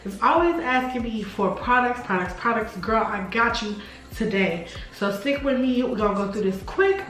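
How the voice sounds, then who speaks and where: A woman's voice: mid-range voice, fairly bright tone. A woman, a beauty YouTuber, talking chattily and directly to her viewers in a casual makeup tutorial voiceover, her voice congested and nearly gone. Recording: very echoey room, faint music.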